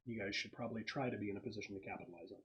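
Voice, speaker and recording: A man's voice: on the low side, rapid-fire, fairly bright tone, muffled recording. A man explaining and narrating casually as game master of a tabletop roleplaying session. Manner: explanatory, conversational.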